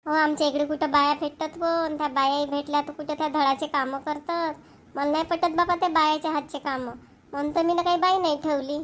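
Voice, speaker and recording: A woman's voice: high-pitched, fairly even intonation, a little fast, fairly bright tone, a little hiss or hum. A woman with a Vidarbha Marathi accent voicing a grumbling, self-pitying older mother-in-law in an amateur cartoon. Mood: complaining. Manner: nagging, complaining.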